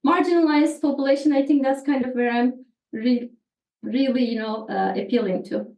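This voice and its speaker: High-voiced Turkish woman, echoey and distant. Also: normal speed, a little mellow. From Turkey. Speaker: podcast guest, therapist. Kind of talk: conversational interview. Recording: echoey room, distant from mic.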